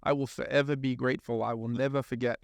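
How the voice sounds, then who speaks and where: Gravelly New Zealand man, earnest host. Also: mid-range voice, flat delivery, fast talker, no echo, studio quality. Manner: earnest, reflective, probing questions. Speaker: podcast host. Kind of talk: casual interview chat.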